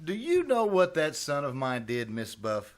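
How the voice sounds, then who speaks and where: A man acts a hearty uncle in a radio play. His voice is high for a man and swings widely, boisterous and welcoming. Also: wildly swooping pitch, a little slow. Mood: jovial. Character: hearty, jovial uncle and proud father. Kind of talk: old-time radio drama acting. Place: live on a small-town theater stage.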